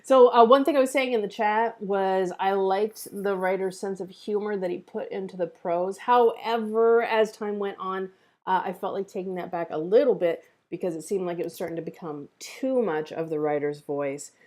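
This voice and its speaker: A woman's voice: medium pitch, moderate pace, darkish tone. A woman hosting a livestream, chatting casually with the group. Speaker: table read host.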